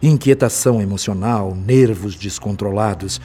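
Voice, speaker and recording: Radio drama mentor spirit: grave, compassionate, analytical. Dark, husky tone, measured delivery. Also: male voice, fairly low pitch. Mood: grave concern. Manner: measured, explanatory, solemn.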